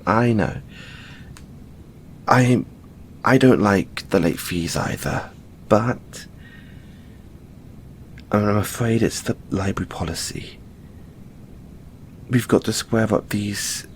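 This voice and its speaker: Man playing a slow-spoken, shy owl boy librarian. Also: lowish voice, a little hiss or hum. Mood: hesitant, coy. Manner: soft-spoken, hesitant, gently bashful. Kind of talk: audio roleplay addressed to the listener.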